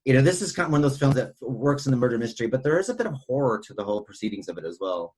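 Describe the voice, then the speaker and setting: A man's voice: mid-range voice, very animated, rapid-fire, darkish tone, breathy edge. Rambling male podcast co-host. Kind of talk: casual film discussion chat.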